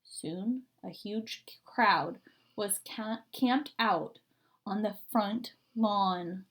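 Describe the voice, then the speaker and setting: A woman reading a children's story aloud expressively and deliberately, stretching words for emphasis. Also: medium pitch, a bit bright. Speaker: story reader.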